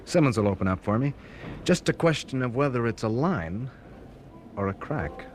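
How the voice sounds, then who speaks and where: A man's voice: mid-range voice, lively intonation, moderate pace, natural voice. An actor playing a restless, curious young male university student in a radio drama, talking in an informal, colloquial way. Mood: restless, intrigued. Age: young adult. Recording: noisy archive radio drama recording.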